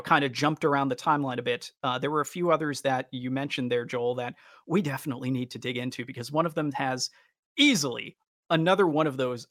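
Man with a creaky voice, chatting casually. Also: medium pitch, fairly bright tone, no room sound, studio quality. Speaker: podcast co-host. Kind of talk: casual fan podcast discussion.

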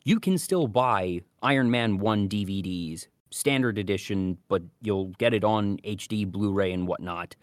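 Lower-voiced man in a dry-sounding podcast chat. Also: unhurried, hardly any pauses, dry recording. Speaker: co-host. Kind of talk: casual podcast discussion.

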